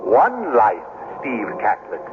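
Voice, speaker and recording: An actor playing a solemn, deliberate accuser sitting in judgment in an old-time radio drama. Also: male voice, on the low side, wildly swooping pitch, heavily acted. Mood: solemn, accusing. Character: solemn, slow, accusing judge of a man's life. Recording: muffled vintage radio, heavy noise.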